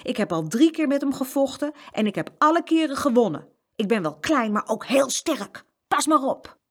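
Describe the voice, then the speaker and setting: Casting: woman as a little storybook lad, headstrong and plucky, pitched up high, very lively intonation. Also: normal speed. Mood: stubborn, boastful. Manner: tough-talking, headstrong. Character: small, stubborn, plucky young twin boy talking tough. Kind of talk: children's storybook character reading.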